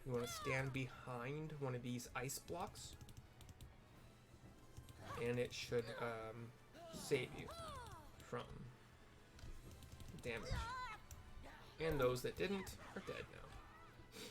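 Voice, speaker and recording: A man's voice: medium pitch, huge pitch swings, slow, long pauses, over music. A man giving casual, rambling gameplay commentary, explaining and describing what happens as he plays. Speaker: video game streamer and narrator.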